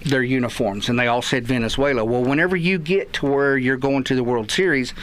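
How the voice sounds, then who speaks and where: A man with a Texan accent, a guest on a radio chat show, telling lively stories and acting out the quotes. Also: medium pitch, fairly quick. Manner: animated storyteller, acts out quotes. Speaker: guest, youth baseball parent. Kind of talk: casual radio chat, storytelling.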